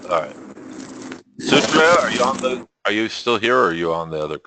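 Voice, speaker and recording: Deep-voiced man teaching on a call. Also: a little fast, very noisy. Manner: relaxed, chuckling, many restarts. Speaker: webinar host. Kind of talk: teaching webinar talk. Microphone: computer mic on a call.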